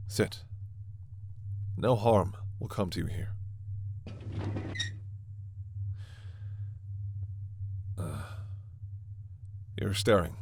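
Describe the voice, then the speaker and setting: A man playing a formal alien scientist in a sci-fi ASMR roleplay, stern and authoritative yet reassuring, with measured, precise diction. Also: low-pitched, vocal fry, darkish tone, husky, full character voice. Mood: calm, formal. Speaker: voice actor in audio roleplay.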